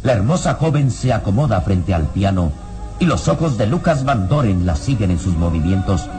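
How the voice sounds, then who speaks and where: Very deep solemn male narrator over music. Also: very animated, normal speed, warm tone. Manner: solemn, suspenseful storytelling. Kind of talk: dramatic scripted radio-drama narration.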